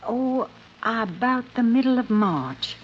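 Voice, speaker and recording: A woman's voice: fairly high pitch, lively intonation, takes it slowly. An American actress playing a polite, chatty, wistful middle-aged wife and mother in 1950s radio drama style. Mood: eager, proud. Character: genteel, fluttery, wistful older wife and grieving mother. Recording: muffled, noisy old radio recording.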